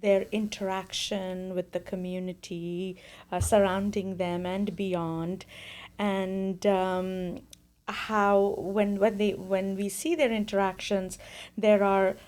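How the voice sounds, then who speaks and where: A woman from India, a playwright guest, earnestly explaining her play in a measured way. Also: medium pitch, level tone, a little mellow. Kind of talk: interview answers. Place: studio.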